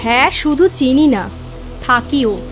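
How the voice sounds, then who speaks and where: A mysterious, affectionate village aunt from a horror cartoon, played by a woman. Her voice is very high, with a coaxing, familiar manner. Soft music and rain run behind her. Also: lively intonation, takes it slowly. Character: mysterious affectionate aunt appearing on a rainy night. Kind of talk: cartoon horror story dialogue.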